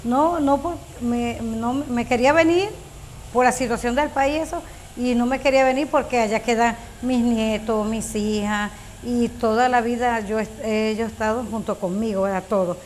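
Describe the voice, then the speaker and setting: A woman's voice: fairly high pitch, steady tone, moderate pace, darkish tone, a little hiss or hum, low-quality recording. A woman in her fifties from Venezuela, telling her story in a documentary interview, hesitant with many fillers. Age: 50s.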